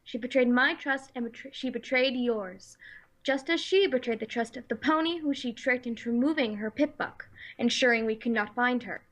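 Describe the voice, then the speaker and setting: A woman playing a stern, calm female pony leader who speaks in a measured, authoritative way in a dramatic story reading. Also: on the high side, poor audio. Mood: solemn, persuasive. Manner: measured, authoritative, slow and deliberate.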